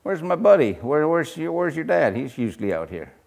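An older man with a soft, folksy voice leading a casual Bible study class. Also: a little high, very animated, a little fast, creaky. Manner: soft-spoken, folksy, chuckles often.

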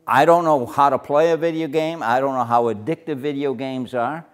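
An older man with a naturally loud voice, leading a Bible study group discussion in a casual, talkative way. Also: medium pitch, moderate pace. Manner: loud, gets louder when excited.

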